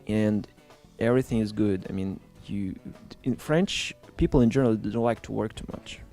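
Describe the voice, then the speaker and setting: A man in middle age with a low, gravelly voice and a mellow, dark tone, speaking English as an after growing up in Ukraine. He talks haltingly, with lots of fillers and restarts, in a relaxed studio chat. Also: faint music behind. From born in Russia, grew up in Ukraine. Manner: halting, many fillers and restarts, non-native phrasing. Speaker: musician guest. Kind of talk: casual interview chat. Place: small studio.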